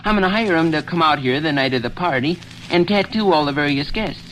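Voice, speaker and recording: A man's voice: a little high, animated, brisk, fairly bright tone, slight background noise, poor audio. An actor playing an eager, boastful teenage boy, earnest and self-important, in 1930s radio comedy style with folksy small-town talk. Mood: enthusiastic.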